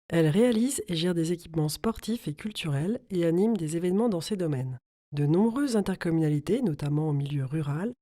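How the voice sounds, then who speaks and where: Mellow, lower-voiced woman narrator. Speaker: explainer narrator. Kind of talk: scripted informational narration.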